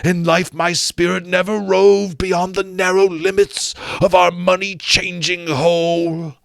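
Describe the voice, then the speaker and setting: A man voicing the mournful ghost of an old chained businessman, wailing his lines slowly in a gravelly voice in a storybook reading. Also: high-pitched, flat delivery, a bit bright, dry recording, clean studio sound, pitched above the performer's own voice, heavily acted. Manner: slow drawn-out wailing delivery.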